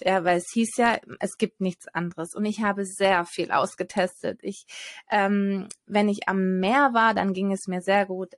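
A woman's voice: medium pitch, no echo. Earnest hesitant woman, dry close sound. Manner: earnest, hesitant with frequent fillers. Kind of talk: personal testimony in casual conversation.

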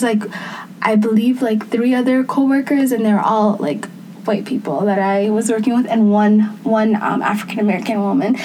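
A woman's voice: mid-range voice, a little mellow, rough recording. Woman with faint hiss, rambling storyteller. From Somali. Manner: hesitant, lots of fillers. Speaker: podcast co-host. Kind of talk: casual storytelling.

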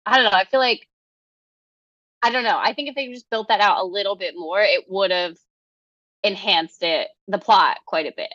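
A woman's voice: mid-range voice, normal speed, a bit bright, no echo, dull audio. A woman chatting casually on a film podcast, loosely searching for her point and trailing off. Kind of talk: casual chat.

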